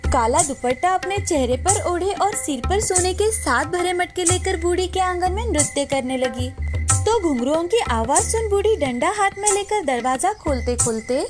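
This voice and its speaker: A woman's voice: very high voice, animated, moderate pace. A woman narrating a moral story in a scripted storytelling style. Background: music.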